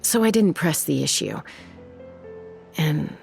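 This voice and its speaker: Casting: a young woman warrior, calm and soft-spoken, slight fry, game score under her. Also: deep voice, faint music behind, full character voice. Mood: reflective. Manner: soft, measured storytelling. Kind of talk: video game voice acting.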